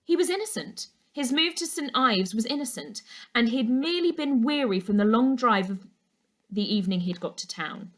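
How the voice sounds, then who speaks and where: A woman teacher reading a storybook aloud to children, with clear, expressive storytelling. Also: on the high side, moderate pace. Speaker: primary school teacher reading a class book aloud.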